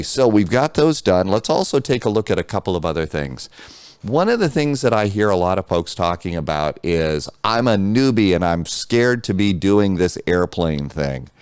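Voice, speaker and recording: A deep, smooth male voice with an American accent hosts a casual tutorial. He talks off the cuff in a folksy, reassuring manner, as if to newcomers. The sound is clean and dry, with very little room in it. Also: darkish tone, no echo. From United States. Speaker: flight simulator tutorial host.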